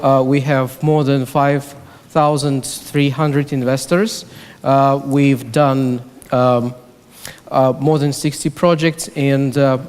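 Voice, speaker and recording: Slow, halting Ukrainian-accented man. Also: medium pitch, darkish tone, smooth. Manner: halting, many fillers. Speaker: regional center director on a panel. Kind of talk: panel self-introduction. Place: large conference hall. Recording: hall reverb from a conference stage.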